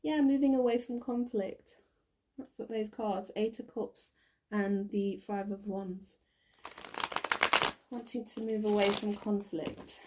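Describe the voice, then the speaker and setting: Croaky woman giving a tarot reading. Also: mid-range voice, fairly even intonation, takes it slowly, pauses often, mellow, slight background noise, rough recording. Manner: thinks aloud with many ums and restarts. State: croaky, recovering from bronchitis. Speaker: tarot reader. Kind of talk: casual tarot reading to camera.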